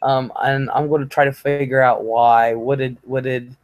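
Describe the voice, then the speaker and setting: A woman's voice: rumbling low, steady tone, moderate pace, muffled recording. A woman hosting a livestream in casual chat, chatty and laughing, with many fillers and free swearing. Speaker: livestream host.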